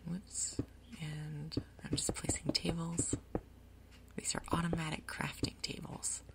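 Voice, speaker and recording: A woman speaks in a soft, breathy whisper as she hosts an ASMR gaming video. Her voice sits low and stays quite even, with very little melodic movement. She murmurs her thoughts aloud in an unhurried, gentle commentary.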